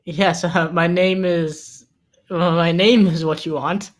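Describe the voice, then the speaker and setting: Man acting a nervous guy, halting speech, stumbling bluffs, film script table read. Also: on the high side, fairly even intonation. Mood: nervous, stalling.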